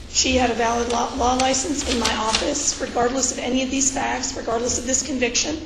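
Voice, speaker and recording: Hoarse fifties lady lawyer testifying, bit high voice, echoey room w/ background noise. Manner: firm, measured answers. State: hoarse from a cold. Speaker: character witness, attorney. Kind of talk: sworn testimony at a hearing. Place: hearing room.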